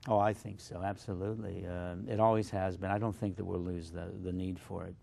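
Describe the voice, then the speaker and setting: A man whose first language is Slovak, a poet guest giving thoughtful, halting interview answers with many fillers. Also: low voice, level tone, gravelly, dark tone.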